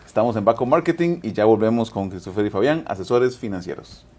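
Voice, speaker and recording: Fast-talking talk show host. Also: male voice, fairly low pitch. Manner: quick, chatty presenter.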